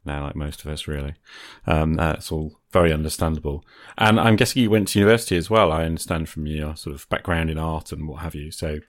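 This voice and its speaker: A man's voice: extremely low pitch, fast talker, dry recording, clean studio sound. A middle-aged man, hosting a casual conversational interview. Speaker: podcast host, interviewer.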